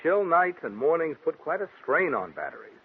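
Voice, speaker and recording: Husky, animated vintage radio announcer. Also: male voice, a little high, normal speed, heavily acted. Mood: confident, persuasive. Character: confident, folksy male radio sponsor announcer. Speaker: radio announcer and sponsor pitchman. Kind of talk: 1940s radio advertising announcing. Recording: phone-like old 1940s radio recording.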